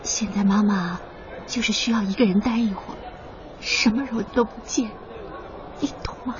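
A woman's voice: medium pitch, moderate pace, lightly acted. Tender, sorrowful mother, voiced by an actress, noisy background. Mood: sorrowful, soothing. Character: tender, sorrowful mother parting from her young daughter. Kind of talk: radio drama acting. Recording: muffled, with noisy station ambience.

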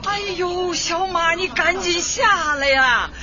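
A woman's voice: very high voice, takes it slowly, pushed and bright, heavily acted. An actress in a radio drama playing a caring old grandmother and community leader, solicitous and often repeating herself. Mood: pleading, urgent. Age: older. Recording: muffled, busy crowd and music behind.

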